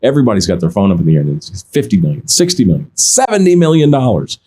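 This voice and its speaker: A man who works in car auctions, telling stories in a relaxed podcast chat, often restarting his sentences and quoting other people. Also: mid-range voice, fast. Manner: animated anecdotes, many restarts, quotes others. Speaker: auction insider guest. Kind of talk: casual podcast storytelling.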